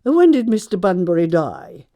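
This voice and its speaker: An actress playing a haughty, imperious older aristocratic aunt in a stage comedy, pompous and deliberate. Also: female voice, fairly low pitch, sing-song, dark tone. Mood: incredulous, disdainful. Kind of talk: theatrical stage comedy acting.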